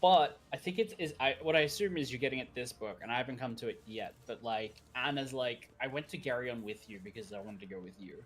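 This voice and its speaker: A man's voice: medium pitch, fast, hardly any pauses, fairly bright tone. A man co-hosting a game stream, chatting casually, rambling and laughing often. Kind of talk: casual chat while gaming.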